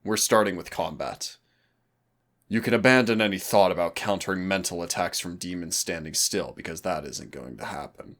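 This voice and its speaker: A man's voice: low-pitched, normal speed, fairly bright tone. A man playing a smug, predatory demon trainer who taunts and commands in a scripted roleplay audio. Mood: commanding, taunting. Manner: taunting, commanding, mock-courteous. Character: teasing, predatory male demon hunter-trainer, smug and dangerous.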